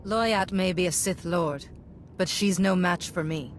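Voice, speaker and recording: A woman playing a calm, confident young Jedi hero in a video game. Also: fairly low pitch, a little slow, faint music behind, close to an everyday voice. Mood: calm, assured.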